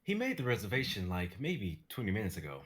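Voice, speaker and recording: A man playing a puzzled young restaurant host in a low-key, conversational script reading. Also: wildly swooping pitch, quick pace, fairly bright tone. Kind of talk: dramatic reading of sketch script.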